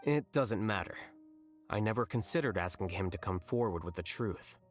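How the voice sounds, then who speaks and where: Cool rational scholar for a game, played by a man: lowish voice, deadpan, blunt, measured, matter-of-fact. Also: close to an everyday voice. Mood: calm, detached. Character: aloof, blunt young male scholar, coolly rational. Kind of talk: video game voice acting. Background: music.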